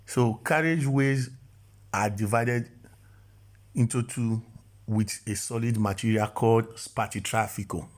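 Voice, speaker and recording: A man's voice: mid-range voice, fairly even intonation, unhurried. Man explaining driving rules, often restarting. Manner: repetitive, self-correcting, stammers on restarts. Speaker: driving instructor. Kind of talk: explanatory voice-over lesson.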